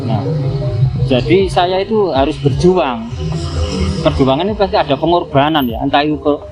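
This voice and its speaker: A man's voice: on the high side, expressive, normal speed, poor audio. A man, giving earnest interview answers in casual speech and repeating his phrases. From Tuban, East Java, Indonesia. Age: 50s. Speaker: street food vendor being interviewed. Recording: music behind the voice.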